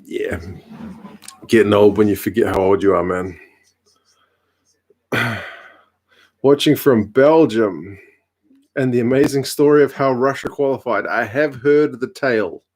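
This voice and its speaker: A man, hosting a casual live stream from home, reading out viewer comments with a relaxed, chatty manner and dry humour. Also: lowish voice, unhurried, pauses often. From New Zealand. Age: 30s.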